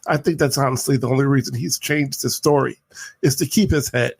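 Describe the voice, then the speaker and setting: A man co-hosting a podcast in casual chat, insistent and opinionated, with quick apologetic asides. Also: mid-range voice, vocal fry, husky, dry recording. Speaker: podcast co-host.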